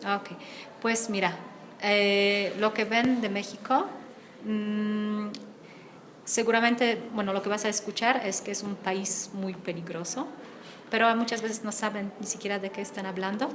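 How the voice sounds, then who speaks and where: Young woman from Poland, chatty interviewee, mid-range voice, clear background noise. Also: mid-range voice, steady tone, measured pace, a bit bright. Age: young adult. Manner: chatty, many fillers. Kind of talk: student video interview.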